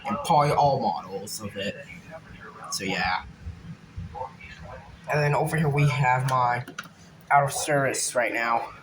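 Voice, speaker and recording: A man's voice: mid-range voice, measured pace, pauses often. Casual rambling man, noisy recording. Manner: rambling, trailing off with vague asides. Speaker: video host showing a personal collection. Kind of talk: casual unscripted show-and-tell.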